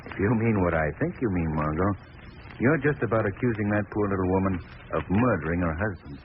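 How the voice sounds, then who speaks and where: An actor playing an urbane, calm gentleman sleuth with a measured, wry delivery in an old radio drama. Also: low voice. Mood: wry, amused. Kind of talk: 1940s radio drama acting. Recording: noisy narrow-band vintage radio recording.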